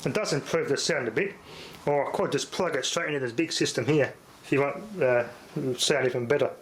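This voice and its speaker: Man, Australian accent, mid-pitched voice, laid-back and rambling, informal host demo, some background noise. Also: brisk, low-quality recording. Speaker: host of a repair channel. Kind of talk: casual unscripted demonstration talk.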